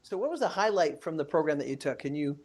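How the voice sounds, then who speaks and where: This is an instructor hosting an interview with a former student in a webinar. The voice sits at a middle pitch. The questions come unscripted and often get rephrased mid-sentence. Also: very animated, fast talker, darkish tone. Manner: asks questions and rephrases them on the spot.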